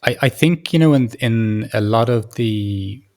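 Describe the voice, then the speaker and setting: A man hosting a reflective interview, speaking in a halting way and often rephrasing as he searches for words. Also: fairly low pitch. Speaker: podcast host.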